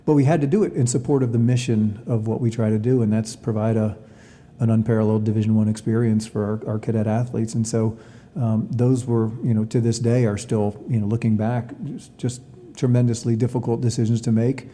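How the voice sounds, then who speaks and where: Male interviewee, sports administrator type, earnest and explanatory. Very soft, dark tone, recorded with long hall reverb. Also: fairly low pitch, level tone, brisk. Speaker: athletics director being interviewed. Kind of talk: reflective interview answers.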